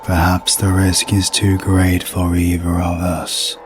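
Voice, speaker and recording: A man playing a calm, cryptic otherworldly entity in an audio roleplay, speaking formally and solemnly in a deep, gravelly voice. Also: slow pace. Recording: music behind the voice.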